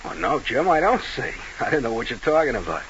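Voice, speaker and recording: A man's voice: mid-range voice, sing-song, quick pace, a bit bright, poor audio. A gangster's sly underling who runs a club in a vintage radio crime play. His delivery rises and falls freely, full of mock innocence and dry digs. The old recording carries a light hiss. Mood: sly, needling. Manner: feigned innocence, dry sarcasm. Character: sly, needling male nightclub manager, a gangster's underling. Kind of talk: old-time radio crime drama acting.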